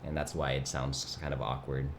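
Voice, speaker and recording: A young man hosts a laid-back livestream, his voice sitting extremely low and full of vocal fry with a nasal edge. Mandarin was his first language, and he rambles easily while chatting. A faint hum of room noise sits under him. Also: flat delivery, normal speed. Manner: laid-back, rambling, reads chat aloud. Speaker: livestream host. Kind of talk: casual chat.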